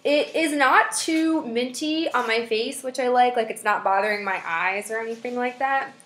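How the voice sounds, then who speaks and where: A woman's voice: on the high side, normal speed, fairly bright tone, reverberant. A young woman with a stuffed-up nose from a head cold, chatting casually as a beauty vlogger through a webcam in a small room. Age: young adult. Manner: chatty, friendly, with frequent fillers. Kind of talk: casual product review. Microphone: webcam at desk distance.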